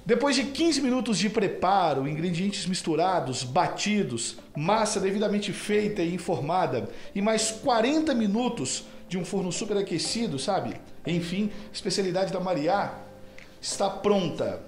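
A man's voice: sits high, expressive, moderate pace. A man in his thirties doing a playful TV interview in a home kitchen, asking teasing, leading questions into a handheld mic. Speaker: field reporter. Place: small home kitchen indoors. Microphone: handheld reporter mic. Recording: echoey kitchen with music behind.

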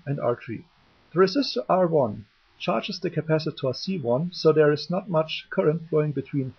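A man narrating an explanatory electronics tutorial in a methodical, slightly halting way, on a dull lo-fi homemade microphone. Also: fairly high pitch, vocal fry, husky. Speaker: electronics hobbyist presenter. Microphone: cheap DIY microphone, voice-over.

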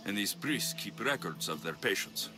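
Blunt, animated mercenary hero. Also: male voice, fairly low pitch, moderate pace. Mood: inquiring. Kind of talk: video game acting. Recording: music behind the voice.

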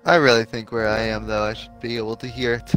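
A man talks in casual gaming chat with friends at a medium pitch. He is a streamer, and video game music runs behind his voice. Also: flat delivery, fast talker, fairly bright tone.

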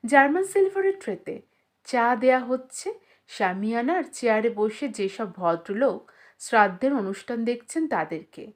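A woman reading a literary short story aloud as a scripted narration. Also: on the high side.

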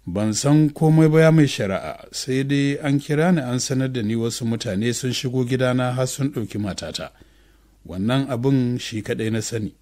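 A man's voice: medium pitch, brisk, mellow, natural voice. Man reading as a subdued, troubled young husband. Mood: earnest. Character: troubled, patient, devout young husband falsely accused.

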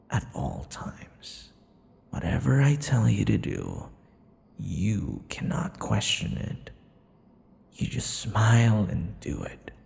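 A man's voice: on the low side, vocal fry, warm tone, heavily acted. A man voicing a possessive, teasing, vain vampire captor in an intimate ASMR roleplay, theatrical and taunting, slipping between murmurs and whispers. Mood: teasing, domineering. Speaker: audio roleplay performer. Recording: long reverberant echo.

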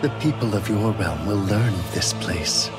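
An actor playing a stern, aloof otherworldly guardian, grave and imperious, in a video game. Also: male voice, lowish voice, moderate pace, close to an everyday voice. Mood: disdainful, grave. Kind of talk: scripted video game acting. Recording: loud background music.

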